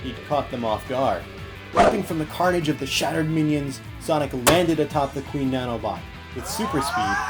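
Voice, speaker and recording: Male narrator: earnest dramatic storytelling, mid-range voice, music underneath. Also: medium pitch. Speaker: audiobook narrator.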